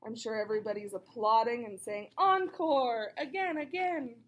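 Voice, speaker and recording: A woman reading a children's picture book aloud, expressive and chatty, with casual explanatory asides for young listeners. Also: a little high, normal speed. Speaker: read-aloud storyteller.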